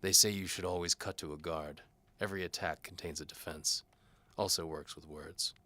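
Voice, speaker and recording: Male actor as defiant mercenary knight, low-pitched, bright forceful edge, sardonic, world-weary, audio drama hero. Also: steady tone, normal speed, no echo. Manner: sardonic, hard-boiled. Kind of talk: audio drama character acting.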